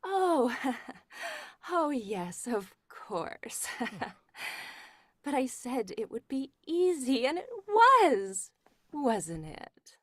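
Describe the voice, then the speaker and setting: Actress as cold-blooded scheming young wife, 1940s radio horror: high, swooping, domineering and coaxing. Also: female voice, very animated, drawn-out words, a bit bright, dry recording, studio quality, full character voice.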